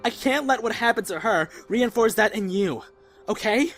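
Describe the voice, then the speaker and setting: A man playing a caring, earnest young survivor in a zombie apocalypse audio drama, mild-mouthed and gentle but stubborn. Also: high voice, expressive, moderate pace, faint music behind. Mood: pleading, firm. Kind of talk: fan audio drama acting.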